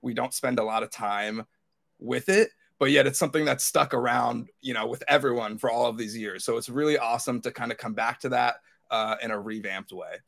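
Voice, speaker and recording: A man with a noticeably gravelly, creaky voice at an average pitch. He talks like a podcast co-host in a casual fan discussion, rambling along with enthusiasm. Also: fairly quick, a bit bright.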